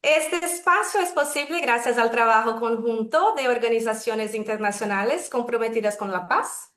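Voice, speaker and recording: Brasileña moderando con voz aguda. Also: female voice, fairly high pitch, a bit bright, roomy sound. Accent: Brazilian Portuguese. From Brazil. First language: Portuguese. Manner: measured, careful, self-correcting. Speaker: webinar moderator. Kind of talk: formal opening remarks. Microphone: video call.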